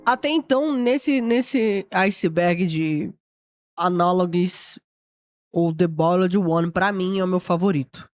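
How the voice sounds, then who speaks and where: A woman's voice: fairly low pitch, slow pace, a little mellow, no echo, faint music behind. A woman with a Brazilian accent giving informal, slangy reaction commentary as host of a video. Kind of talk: casual spoken reaction commentary.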